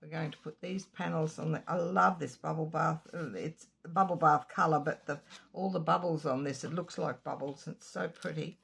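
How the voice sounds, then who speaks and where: A woman with a slightly croaky throat giving a chatty card-making tutorial, drifting into asides while she works. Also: low voice, fairly even intonation, moderate pace, fairly bright tone. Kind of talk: casual craft tutorial. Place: home craft room.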